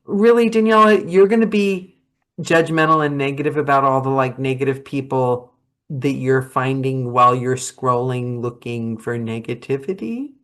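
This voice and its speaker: Chatty, animated woman host. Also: very deep voice, normal speed. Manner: animated, rambling, laughs often. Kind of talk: casual video-call chat.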